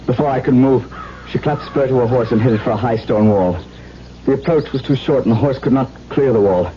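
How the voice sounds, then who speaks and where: An actor playing a grief-stricken, defiant widowed baron with intense delivery in a 1970s radio drama. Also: male voice, mid-range voice, a little fast, noisy background, close to an everyday voice. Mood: mournful recollection. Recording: dull 1970s radio broadcast.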